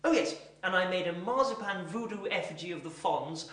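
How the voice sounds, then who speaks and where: A man performing a comic monologue as an eccentric, pompous character, with a deadpan, deliberate, mannered delivery. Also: high voice. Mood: quiet, deadpan. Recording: echoey room, distant mic.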